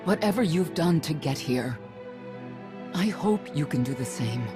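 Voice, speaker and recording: A woman's voice: low voice, animated, measured pace. An actress playing a grave, resolute middle-aged warrior mother in a space saga game, solemn and reflective. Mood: sorrowful, resolute. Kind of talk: scripted game cutscene acting. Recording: music playing underneath.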